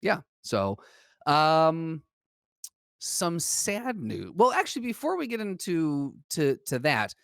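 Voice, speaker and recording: A man's voice: on the high side, wildly swooping pitch, takes it slowly, vocal fry, a bit bright, no echo, studio quality. A man hosting a podcast, joking around and plugging upcoming episodes. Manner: joking, teasing, plugs episodes. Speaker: podcast host. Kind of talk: casual podcast chat.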